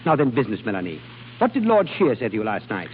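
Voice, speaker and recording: An actor playing an exacting, unsentimental guardian with a clipped, lecturing manner in an old radio drama. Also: male voice, fairly low pitch, wildly swooping pitch, quick pace. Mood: stern, scolding. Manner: clipped, stern, dryly lecturing. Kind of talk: 1930s-40s radio drama acting. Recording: tinny phone-like, hissy old radio broadcast.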